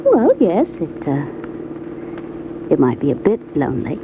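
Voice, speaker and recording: A poised young woman speaks with coy, measured charm and lilting intonation. She is alluring and quietly inviting, in a vintage radio drama with a muffled sound and clear background noise. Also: low-pitched, huge pitch swings, full character voice. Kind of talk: old-time radio drama acting. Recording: dull, noisy vintage radio recording.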